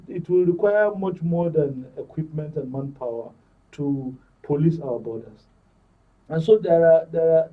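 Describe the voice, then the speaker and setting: A Nigerian man giving measured, formal and wordy current affairs analysis as a panel guest. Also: fairly high pitch, breathy edge. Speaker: studio guest, security commentator. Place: broadcast studio panel. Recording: distant mic, dull muffled audio.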